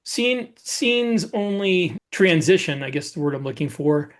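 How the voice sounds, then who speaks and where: A man with a somewhat high voice chats casually as a podcast co-host. He explains his own way of working in an informal, conversational style. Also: expressive, measured pace. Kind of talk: casual chat about craft.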